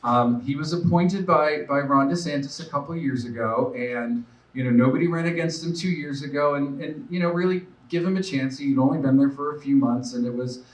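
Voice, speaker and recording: A man's voice: on the high side, fairly quick, hardly any pauses, far from the mic. A man in his forties speaks earnestly at a campaign fundraiser, thinking aloud with plenty of fillers and restarts. He stands a little way off from the microphone, and the room gives his voice a roomy echo. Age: 40s. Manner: earnest, off-the-cuff with many fillers. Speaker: political candidate. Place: room at a live event.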